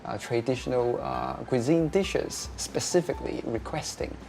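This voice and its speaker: A young man, answering interview questions as a restaurateur, pausing often with filler sounds. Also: mid-range voice, animated, gravelly, over music. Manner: hesitant, many filler sounds.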